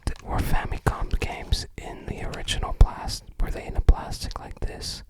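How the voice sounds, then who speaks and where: A person whispering in a casual ASMR style, musing aloud softly in a breathy, unvoiced voice. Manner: soft, unhurried, musing aloud. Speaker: ASMR video creator. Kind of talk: whispered ASMR, casual unboxing and game commentary.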